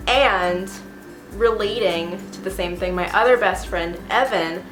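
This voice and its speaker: A young woman with a stuffy, sniffly cold, chatting casually and gushing about her monthly favorites at home. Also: mid-range voice. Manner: chatty and gushing, with many fillers. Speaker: beauty vlogger. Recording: roomy echo with music behind.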